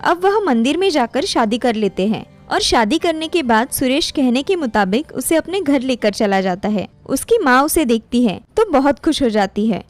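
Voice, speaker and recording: A woman's voice: high voice, lively intonation, normal speed, hardly any pauses, faint music behind. A woman reading a scripted moral story as its narrator.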